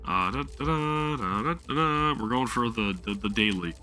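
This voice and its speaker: A man's voice: medium pitch, expressive, moderate pace, sharp tone, soft background music. A man streaming a game live, chatting casually while his sore throat makes him lose his voice, rambling and apologizing often. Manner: apologetic, rambling, many restarts.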